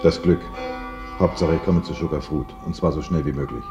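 A man's voice: a bass voice, steady tone, a little fast. An actor playing an impatient, curt male customer in a science fiction radio play. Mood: impatient, determined. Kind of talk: 1970s radio drama acting. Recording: dull sound with music behind.

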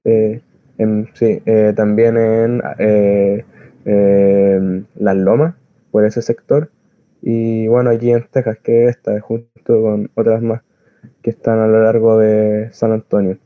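Chilean male community volunteer, halting informal delivery, little melodic movement, dry and slightly muffled lo-fi audio. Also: lowish voice, flat delivery, dry recording. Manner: halting, searching for words, earnest. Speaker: community soup kitchen volunteer. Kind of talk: informal spoken explanation of activism. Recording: dull lo-fi close recording.

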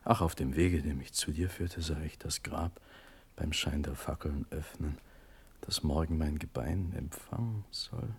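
A man's voice: rumbling low, measured pace, husky, heavily acted. An actor playing a desperate young prince begging for his life, in fervent, declamatory classical radio-drama verse. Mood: haunted dread.

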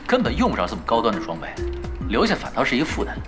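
An actor in a Chinese audio drama playing a laid-back veteran pro gamer, teasing and persuasive in an unhurried way. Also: male voice, mid-range voice, huge pitch swings. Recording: background music under the dialogue.

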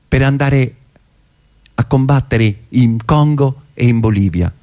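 A man performing a theatrical narrative monologue in a conversational, ironic manner with rhetorical pauses. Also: lowish voice, a little mellow. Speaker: stage actor and monologist.

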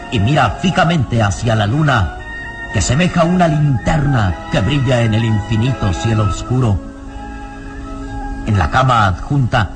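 A man narrating a radio drama in a solemn, deliberate, dramatic scripted style. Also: lowish voice.

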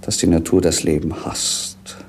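A melancholy young prince of a vintage radio drama, low in pitch and given to lyrical declamation. He is dreamy and theatrical, on an old noisy recording. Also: little pitch movement, measured pace, darkish tone. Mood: rapturous. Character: young romantic prince, melancholy, rapturous, world-weary dreamer. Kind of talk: 1950s German radio play acting.

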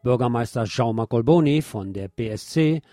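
A man's voice: mid-range voice, animated, normal speed, mellow, no room sound, studio quality. A man presenting scripted radio news as a narrator, reading reports in an orderly way. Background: music under the voice.

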